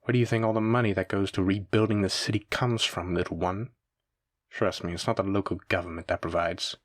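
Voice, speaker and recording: Male mafia boss villain, played by an actor, audio drama: lowish voice, dry sound, theatrical, condescending, teasing menace. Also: steady tone, a little fast, dry recording. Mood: smug, calm menace. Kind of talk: scripted roleplay audio drama addressed to listener.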